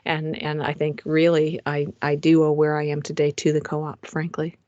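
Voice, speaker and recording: A woman's voice: sits low, completely flat delivery, normal speed, a little mellow, no echo, lo-fi sound. A middle-aged woman, a romance author, presenting her publishing plans over slides in a chatty way, with frequent fillers and restarts, recorded in a home recording booth.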